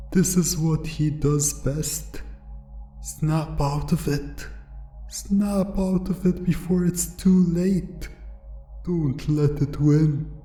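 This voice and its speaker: A man's voice: mid-range voice, dark tone, reverberant, voice pushed up. A man voicing a panicked wizard who pleads urgently against evil in an audio roleplay.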